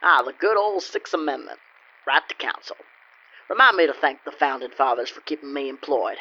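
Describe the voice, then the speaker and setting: Man playing a bright, folksy country lawyer. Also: fairly high pitch, animated, normal speed, husky, muffled recording, heavily acted. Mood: smooth, unbothered. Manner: folksy, theatrical courtroom patter. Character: folksy, smug country lawyer, self-styled simple countryman. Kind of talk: comedic old-time radio play acting.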